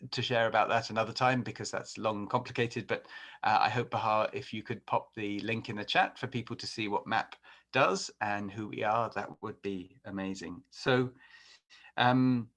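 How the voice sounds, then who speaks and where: A man's voice: fairly low pitch, moderate pace, fairly bright tone. A middle-aged man from England with an English accent, giving a conversational talk on a webinar with many fillers and restarts. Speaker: podcast host and webinar presenter.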